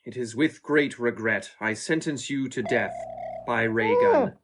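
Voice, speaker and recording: Martian ruler, male actor: earnest, pompous authority with varied pitch, for a comic audio drama. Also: huge pitch swings, unhurried, heavy background noise. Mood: stern. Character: formal male Martian leader, earnest and commanding. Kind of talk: comic audio play acting.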